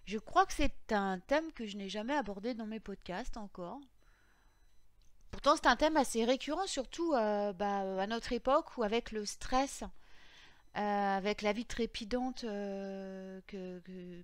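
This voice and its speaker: Slightly hoarse French-speaking woman, dry close sound. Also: mid-range voice, measured pace, no room sound. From France. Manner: rambling, many fillers and restarts. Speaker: podcast host on witchcraft. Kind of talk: casual spoken podcast.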